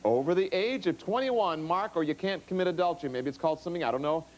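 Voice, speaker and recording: A man's voice: fairly high pitch, expressive. A man hosting a TV game show, joking and teasing his celebrity panel in front of a studio audience. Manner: jokey, teasing, quick asides. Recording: studio audience and noise behind.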